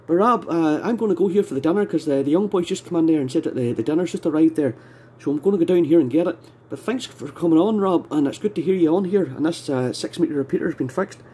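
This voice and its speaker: A Scottish man speaks in a husky, slightly mellow voice, fairly high for a man. He chats casually in the manner of a radio amateur talking on air. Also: fast. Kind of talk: casual two-way radio chat. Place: indoors at a home radio desk.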